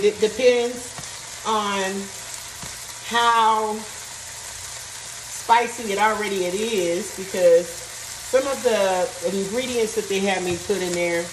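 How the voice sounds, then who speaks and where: A woman talks casually as she cooks, thinking aloud and walking through the recipe step by step. Her voice is of middle pitch and comes from a little away from the mic in an echoey home kitchen. Frying and stirring sizzle loudly behind her. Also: recorded from a distance, background noise. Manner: chatty, thinks aloud, reads recipe steps. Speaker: cooking vlogger. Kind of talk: casual cooking vlog chat. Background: sizzling and stirring in a pan.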